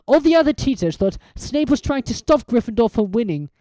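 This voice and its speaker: A cold, sneering male teacher villain, as a woman reads him in a storybook, cool and mocking in delivery. The pitch sits above the narrator's voice and moves a lot. Warm, dry close recording. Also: very animated, fairly quick, no echo. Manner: cool, mocking, mock stutter.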